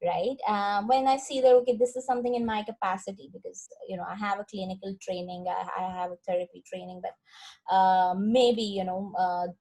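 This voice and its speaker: A woman talks as an invited expert on an online call. She explains in spoken, unscripted sentences and often checks that she is understood. Her pitch is average for a woman. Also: fairly even intonation, moderate pace, warm tone, poor audio. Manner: explanatory, often checks with "right?" and "you know". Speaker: psychologist, invited guest speaker. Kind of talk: explanatory talk in an online Q&A session. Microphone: online group call.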